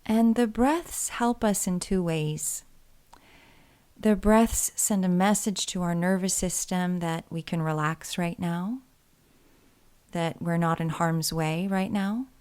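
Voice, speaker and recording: A woman with a slightly low voice guides a body scan meditation. She speaks gently and soothingly, taking her time and leaving space between phrases. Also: fairly even intonation, clear, resonant tone. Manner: soothing, gentle, unhurried, with pauses.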